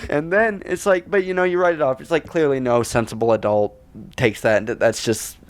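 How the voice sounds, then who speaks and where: A man's voice: medium pitch, steady tone, fairly quick, clean tone. A man co-hosting a podcast in casual, opinionated chat, with a rambling, exasperated way of ranting and acting out mock quotes. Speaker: podcast co-host.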